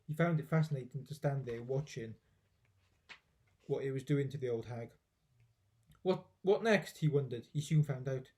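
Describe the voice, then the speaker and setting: A man reading a children's story aloud in an expressive storybook narration style. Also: medium pitch, moderate pace.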